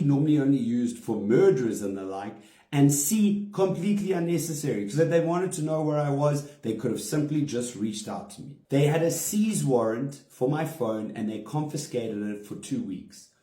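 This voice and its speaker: A man's voice: medium pitch, animated, moderate pace, few pauses, mellow. A man from Africa, a comedian giving a direct-to-camera statement in an indignant, emphatic way and leaning hard on single words. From South Africa. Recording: roomy echo.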